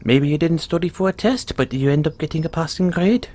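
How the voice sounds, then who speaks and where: A man's voice: a little high, fairly quick, a little mellow, lightly acted. A man voicing a kindly, playful leprechaun in an audio roleplay, folksy and reassuring. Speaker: roleplay performer. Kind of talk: audio roleplay, speaking softly to one listener.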